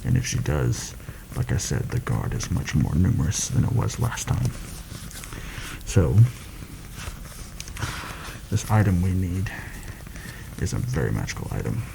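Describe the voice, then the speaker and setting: Man playing a very deep, gravelly soft-spoken schemer. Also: very animated, background noise, exaggerated voice. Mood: grave, cautious. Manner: deliberate, confiding, slightly formal. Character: shrewd, secretive male mercenary plotting a royal assassination. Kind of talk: soft-spoken ASMR roleplay.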